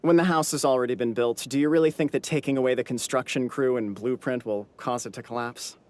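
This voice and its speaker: A man's voice: medium pitch, steady tone, fairly quick, natural voice. An actor playing a pompous, wordy clansman proud of his ancestry in a video game, with music behind him. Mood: lecturing. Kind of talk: theatrical video game character acting.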